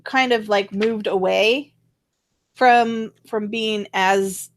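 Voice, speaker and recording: A woman hosting a casual talk show, chatting in a halting, wandering way. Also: medium pitch, steady tone. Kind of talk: casual conversational commentary.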